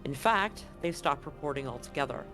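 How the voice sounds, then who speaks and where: A man improvising narration as game master of a tabletop roleplaying game, often pausing to find his words. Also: a little high, lively intonation, over music.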